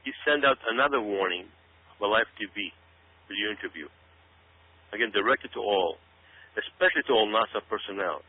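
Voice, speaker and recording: A man's voice: lowish voice, animated, fairly quick, long pauses. A man giving urgent, emphatic conspiracy commentary on a talk show, repeating phrases and asking rhetorical questions. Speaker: guest commentator. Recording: tinny phone-like radio audio.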